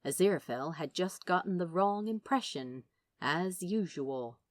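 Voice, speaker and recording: A woman narrates a story with a lively, expressive delivery and wide pitch movement. Her recording is very dry, with no room sound, and her reading is dramatic and scripted. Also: measured pace, no echo. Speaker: audiobook narrator. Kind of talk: scripted fan fiction narration.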